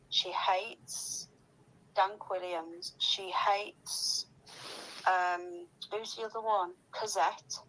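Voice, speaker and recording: A woman's voice: mid-range voice, fairly bright tone, poor audio. A woman chatting casually and gossiping in a rambling, sweary, complaining way.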